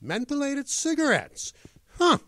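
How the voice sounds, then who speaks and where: Guy doing old-timey ad husband, earnest and folksy, high voice, slow, swoops around. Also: wildly swooping pitch, a bit bright, full character voice. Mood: contented, folksy. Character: cheery, wholesome husband in a vintage cigarette commercial. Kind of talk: mock vintage radio advertisement acting.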